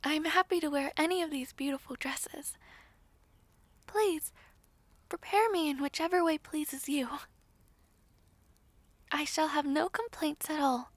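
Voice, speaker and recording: A woman's voice: sits high, measured pace, long pauses, dry recording. A woman playing a shy, humble young maid of noble birth in a fantasy audio roleplay, hesitant, polite and old-fashioned in speech. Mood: overwhelmed, grateful.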